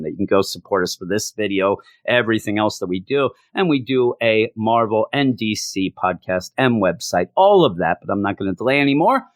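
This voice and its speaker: A hoarse man reviewing a comic book, ranting in exasperation and repeating his complaints for emphasis. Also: on the low side, no echo.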